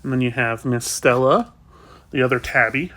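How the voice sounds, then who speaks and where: A man with a stuffed-up, sniffly voice, talking casually and softly about rescuing kittens, with many pauses and fillers. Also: medium pitch. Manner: soft, rambling, with fillers. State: congested, sniffling. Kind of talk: casual vlog talk.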